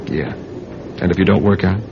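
A man playing a world-weary intelligence agent in a radio drama, terse and low-key, partly narrating his own case. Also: normal speed, background noise. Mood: businesslike. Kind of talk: radio drama acting with first-person narration. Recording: dull radio recording, music behind.

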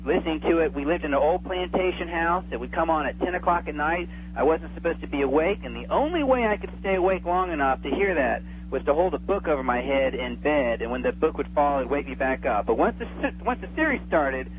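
A man's voice: a little high, animated, fairly quick, few pauses, slight background noise. Enthusiastic man on a tinny phone line. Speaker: radio show guest, old-time radio enthusiast. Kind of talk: casual radio interview chat. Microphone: telephone.

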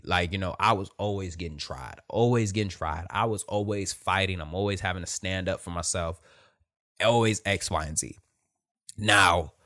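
A soft-voiced man giving a blunt, emphatic motivational talk, repeating his points. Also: fairly low pitch. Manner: emphatic, repetitive, direct with profanity.

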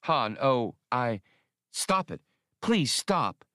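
Guy doing a blunt tough space girl for an audiobook, higher voice, proud and emphatic, dry sound. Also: very animated, very slow, fairly bright tone, dry recording, full character voice. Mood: flustered. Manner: scolding, emphatic. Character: proud, blunt, tough working-class young woman spacefarer.

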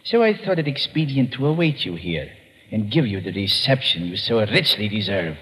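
An actor playing a menacing arms-dealer villain in an old radio drama, speaking in a formal, pompous, coolly mocking manner. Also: male voice, sing-song, moderate pace, a bit bright. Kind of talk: 1950s radio drama acting. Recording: old radio recording, boomy reverb, muffled, hissy.

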